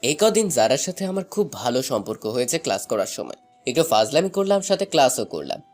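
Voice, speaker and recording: A young man narrating a scripted first-person love story in an expressive, dramatized way. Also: mid-range voice, brisk. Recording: music bed under the voice. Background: background music.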